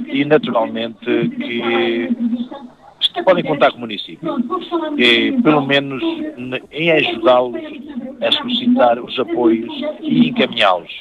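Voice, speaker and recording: A man in his fifties, a town mayor, interviewed outdoors at a fair with a handheld mic, talking in a lively way. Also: mid-range voice. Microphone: handheld mic held close. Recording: thin phone-like sound, crowd behind.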